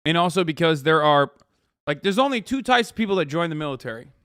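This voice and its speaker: Streamer guy from turkey ranting, lots of swearing, bit high voice, dry close sound. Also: expressive, quick pace, a bit bright, smooth, dry recording. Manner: profane, emphatic, rambling with restarts. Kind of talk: reacting to clips, casual rant.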